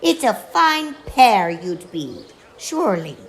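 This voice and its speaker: Sharp-tongued Irish beggar wife. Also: female voice, medium pitch, sing-song. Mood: scornful. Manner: biting, deliberate dialect speech. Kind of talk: theatrical radio play acting.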